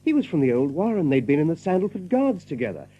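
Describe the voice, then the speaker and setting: A man's voice: fairly high pitch, big pitch swings, quick pace, muffled recording, slight background noise. A man narrating a story as scripted, dramatic storytelling for an audio drama.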